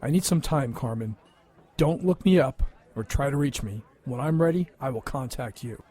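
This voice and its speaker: A man's voice: medium pitch, moderate pace, warm tone, breathy edge, close to an everyday voice. A man playing a big, traumatized and resentful younger brother in an audio drama, bitter and wounded in manner. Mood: cold resentment.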